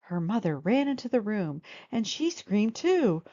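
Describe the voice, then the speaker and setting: A woman reading a children's storybook aloud in expressive narration, on a slightly muffled recording. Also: mid-range voice, unhurried. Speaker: storybook reader.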